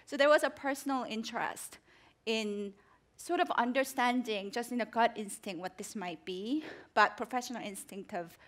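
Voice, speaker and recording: A woman's voice: a little high, normal speed. Korean-accented woman, 40s, onstage interview guest, earnest, headset mic. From South Korea. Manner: earnest, reflective, gesturing with her hands. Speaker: journalist guest. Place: theater stage before a live audience.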